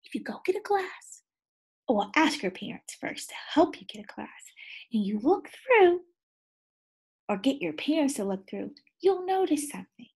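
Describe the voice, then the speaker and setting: A woman teacher reading a picture book aloud to young children, pausing to ask them questions, with gasps and sighs. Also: sits high, sing-song. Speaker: second grade teacher.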